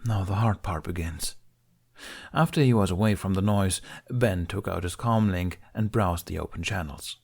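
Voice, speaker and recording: A man's voice: low-pitched, normal speed, gravelly, darkish tone, slightly rough. A man reading scripted story narration as an audiobook narrator.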